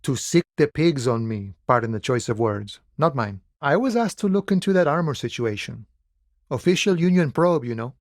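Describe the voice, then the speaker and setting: A man acting as a laid-back union dockworker from a video game, with a mid-pitched voice in a very dry recording. He talks in an unhurried, familiar way, wry and philosophical, friendly without ever pushing. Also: lively intonation, no echo, close to an everyday voice. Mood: wry, unbothered. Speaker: video game character voice actor.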